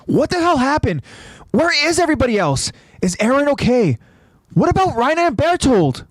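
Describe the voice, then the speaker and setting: A man voicing a hot-headed young male soldier in an anime story, urgent and blunt, with a strained, yelling voice. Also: expressive, measured pace, dry recording, heavily acted. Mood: frantic, urgent. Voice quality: strained yelling, high and shouted.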